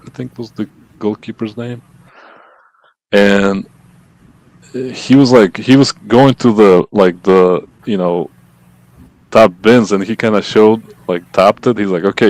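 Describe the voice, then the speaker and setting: A man's voice: fairly low pitch, unhurried, darkish tone, no echo, poor audio. A man hosting a podcast, chatting loosely with lots of fillers and asking questions. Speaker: podcast host. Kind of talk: casual interview chat.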